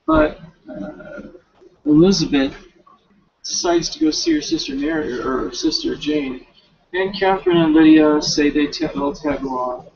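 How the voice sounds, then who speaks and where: Slow, halting man with a husky, creaky voice. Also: a little high, big pitch swings, a little mellow. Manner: informal, slangy, halting retelling with self-corrections. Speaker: student reading a novel for a class paper. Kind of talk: casual, rambling study recap of a novel, talking to self. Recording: dull, lo-fi home recording.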